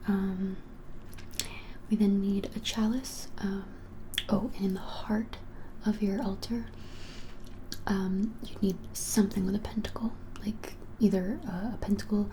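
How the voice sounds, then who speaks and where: A woman in her twenties in a breathy whisper, doing a gentle, hesitant, conversational ASMR roleplay as a shopkeeper. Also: medium pitch, fairly even intonation, unhurried, background noise. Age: 20s. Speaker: ASMR creator playing a witch shop keeper. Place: small plain room indoors.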